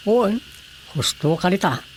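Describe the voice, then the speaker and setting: An actor playing a concerned, grumbling village councilor in a radio drama comedy, with a Filipino accent. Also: male voice, sits high, expressive, moderate pace. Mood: concerned, curious. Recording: faint background noise.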